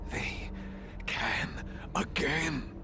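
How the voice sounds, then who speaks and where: An actor playing a weary but urgent survivor in a video game, speaking in a halting, breathy way. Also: male voice, fairly high pitch, whispered. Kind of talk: dramatic video game dialogue.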